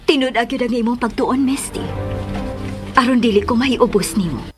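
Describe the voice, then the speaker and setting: Radio drama actress: trusting, protective Filipino mom, very high pitch, music bed behind her. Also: moderate pace, natural voice. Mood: caring, cautious. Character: caring mother, cautious but trusting. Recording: music under the voice.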